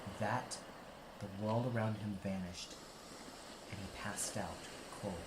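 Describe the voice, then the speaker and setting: A man's voice: on the low side, steady tone, slow, background noise. A man giving dramatic scripted narration as the reader of an audio play.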